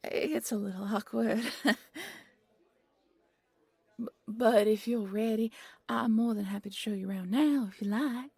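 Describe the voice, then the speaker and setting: A timid, apologetic bunny girl, acted by a young woman, stumbles over her words in an audio roleplay. Her voice is soft and breathy, with very little creak. She sounds easily flustered, and the recording is dry and free of room sound. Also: a little high, steady tone, unhurried, long pauses, no room sound, full character voice. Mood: shy, eager. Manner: nervous, stammering, easily flustered. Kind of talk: audio roleplay character acting.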